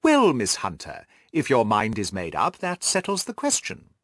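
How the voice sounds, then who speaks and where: A man voicing a Victorian gentleman detective in an audiobook, measured and analytical in manner. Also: medium pitch, wildly swooping pitch, dry recording, studio quality. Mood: measured, cautious.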